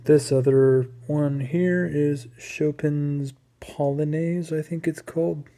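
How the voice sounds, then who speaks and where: Man with an even, casual, rambling delivery. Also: fairly high pitch, takes it slowly. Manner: rambling, hesitant asides. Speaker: record collector presenting a collection. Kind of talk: casual unscripted show-and-tell.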